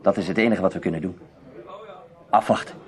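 A man playing a cool amateur investigator in a radio drama, questioning in a terse, measured manner. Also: deep voice, lightly acted. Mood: serious, decisive. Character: cool, probing male amateur investigator named Tim.

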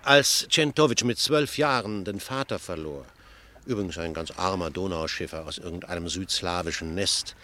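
An actor playing a wry, gossipy ship passenger in a radio play, telling tales in with a sardonic manner. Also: male voice, deep voice, moderate pace. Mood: amused, mocking. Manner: sardonic, chuckling, animated storytelling. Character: wry, worldly, gossipy male ship passenger, mocking raconteur. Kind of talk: German radio play dialogue.

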